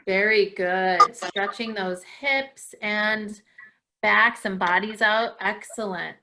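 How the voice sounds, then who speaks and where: A woman's voice: mid-range voice, takes it slowly, very noisy. A woman leading a live stretching lesson for viewers at home in an encouraging way, with frequent praise. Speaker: dance class host leading children's stretches.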